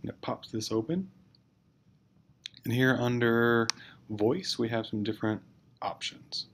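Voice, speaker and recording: A man's voice: medium pitch, unhurried. A man narrating a casual instructional screencast, explaining step by step in an informal, hesitant way. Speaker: teacher giving software tutorial. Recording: clear background noise.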